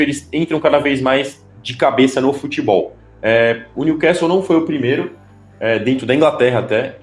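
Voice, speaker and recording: A man co-hosting a casual podcast chat, talking haltingly with lots of filler sounds and restarts. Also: medium pitch, roomy sound. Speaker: podcast co-host.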